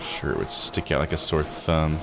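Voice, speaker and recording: A man's voice: rumbling low, normal speed, vocal fry. A man doing casual let's play commentary as a game streamer, thinking aloud in folksy, slangy phrases. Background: game music.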